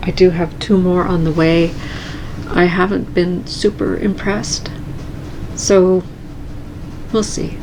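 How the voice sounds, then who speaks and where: A woman chatting casually and calmly in her cross-stitch vlog at home, soft-spoken and unhurried. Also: lowish voice, noisy background. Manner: calm, slow and soft-spoken. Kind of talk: casual chat.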